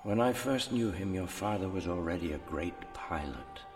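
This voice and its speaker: Deep, echoing old mentor spirit. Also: male voice, lively intonation. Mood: grave, reflective. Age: older. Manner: measured, formal, admonishing. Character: grave old male mentor spirit, patient, solemn. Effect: long ghostly reverb. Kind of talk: radio drama acting. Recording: long reverb, music behind.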